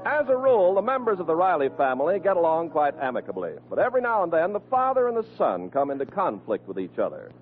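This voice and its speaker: A man announcing and narrating a 1940s radio show in a scripted, theatrical, emphatic style. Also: on the high side. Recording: tinny vintage radio broadcast, music behind.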